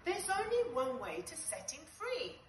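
A woman voicing a bossy, urgent bug who shouts commands in a children's storybook. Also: high voice, wildly swooping pitch, a little slow, voice pushed up, full character voice. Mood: commanding. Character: bossy, urgent little bug taking charge. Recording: roomy, distant, slightly noisy.

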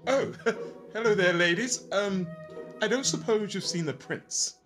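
A man's voice: high-pitched, measured pace, music in the background. A man playing a nervous, hesitant servant who poses as a prince in a comic pantomime, given to anxious giggles. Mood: nervous, polite. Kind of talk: pantomime comedy. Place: living room, video call.

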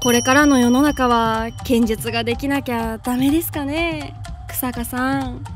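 A woman's voice: high-pitched, steady tone, normal speed, over music, lightly acted. A woman playing an earnest, easily panicked young boy student with a plaintive manner in a voice drama. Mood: sheepish. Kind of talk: anime-style voice drama.

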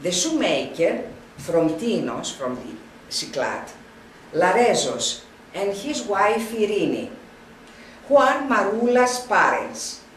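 Older woman reading a lecture slowly, roomy. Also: medium pitch, sing-song, a little hiss or hum. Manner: slow, halting reading with frequent restarts. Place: wood-panelled room, indoors. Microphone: camera at a distance. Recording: roomy, distant camera sound.

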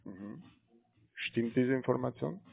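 A man with a foreign accent on a sales call, speaking hesitantly in halting non-native phrasing. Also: medium pitch, vocal fry, husky, no room sound. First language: not native German speaker. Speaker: cold-calling online trading agent. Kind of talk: unscripted phone sales call. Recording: tinny narrow phone line.

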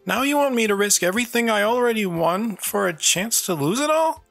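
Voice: mid-range voice, expressive, a little fast, gravelly, fairly bright tone, dry recording, soft background music. A performer playing a plucky, mischievous little boy in an audio drama. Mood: playful.

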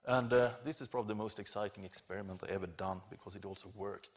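A middle-aged man giving an academic conference lecture, explaining informally and haltingly with self-corrections. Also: on the low side, fairly even intonation. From Scandinavia. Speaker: professor, keynote lecturer. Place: large lecture theatre stage at a podium.

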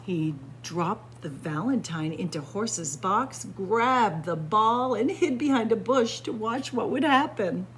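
A woman reading a children's picture book aloud indoors as a library storyteller, in an expressive, deliberate manner. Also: medium pitch, slight background noise. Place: indoor room with bookshelves, library.

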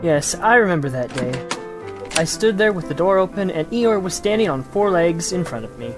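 A woman's voice: lowish voice, moderate pace, over music, natural voice. A woman playing a kind, eager, earnest young boy who acts and narrates in an audio drama. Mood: friendly. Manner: earnest, lively, sympathetic storytelling. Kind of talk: audio drama acting with first-person narration.

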